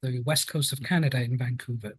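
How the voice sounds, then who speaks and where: A man in his fifties with a British accent, telling his story in a measured, reflective way as an interview guest. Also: mid-range voice, steady tone, husky, no room sound. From United Kingdom. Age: 50s. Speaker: guest, health and safety professional.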